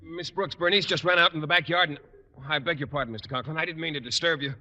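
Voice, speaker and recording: An actor playing a flustered, deferential, mild-mannered colleague in a 1940s radio comedy. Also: male voice, a little high, quick pace, sharp tone, slight background noise. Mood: flustered, apologetic. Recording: old radio recording, hall reverb.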